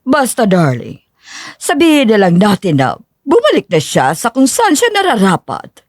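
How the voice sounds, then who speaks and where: A woman's voice: mid-range voice, huge pitch swings, normal speed, dry recording, studio quality. A woman playing a haughty, cruel older mother-in-law in a dramatized audio story, disdainful and imperious. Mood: smug, sugary.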